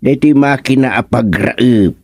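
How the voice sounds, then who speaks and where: Man playing excitable village fellow, Ilocano accent, unhurried pace, dry close sound, radio comedy drama. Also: medium pitch, breathy edge, dry recording. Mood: chatty.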